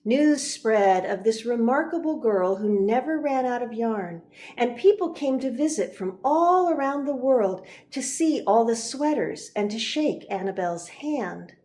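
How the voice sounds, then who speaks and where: A woman narrating a children's picture book, expressive and deliberate, pausing for emphasis. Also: fairly high pitch. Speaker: reverend reading a picture book.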